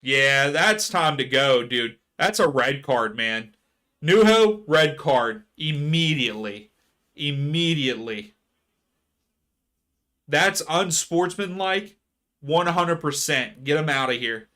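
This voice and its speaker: A man hosting a live soccer watchalong stream, chatting casually with viewers and ranting about fouls. His voice is going hoarse. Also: fairly high pitch, lively intonation, slow, pushed and bright. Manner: chatty, reads chat aloud, rants about fouls. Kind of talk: casual live match commentary.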